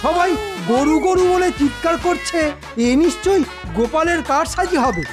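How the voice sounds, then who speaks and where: A man voicing a whining, scheming royal minister in an animated comedy, with shrill, theatrical wailing. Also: moderate pace. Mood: peevish. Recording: loud background music.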